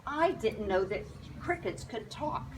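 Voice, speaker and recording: A woman's voice: fairly high pitch, expressive, slow pace. A woman reading a storybook aloud to young children, expressively and in simple phrasing, with asides. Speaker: storybook reader. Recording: clear background noise.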